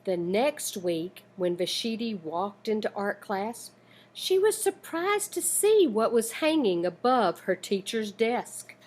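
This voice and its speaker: A woman reading a children's picture book aloud in an expressive, deliberate, dramatic storytelling manner. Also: medium pitch.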